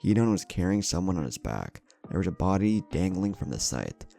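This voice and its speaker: A man's voice: sits low, very animated, fairly quick, vocal fry, warm tone. A man reading a scripted action fantasy story as its narrator. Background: background music.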